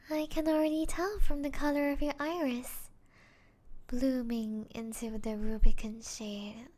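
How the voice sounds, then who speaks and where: A woman voicing a seductive, teasing female vampire in an intimate ASMR roleplay, breathy and often close to a whisper. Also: sits high, warm tone.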